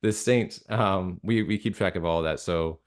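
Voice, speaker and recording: A low-pitched American man speaks as a guest in a casual interview. He thinks aloud, circling back and repeating phrases as he explains things. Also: normal speed, clean tone. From United States. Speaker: interview guest, radio drama creator.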